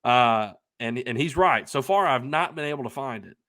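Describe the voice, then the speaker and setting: A young man co-hosting a podcast, telling a casual anecdote in a rambling, emphatic, excitable way. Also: mid-range voice, moderate pace, no room sound, studio quality. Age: young adult. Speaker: podcast co-host telling a story.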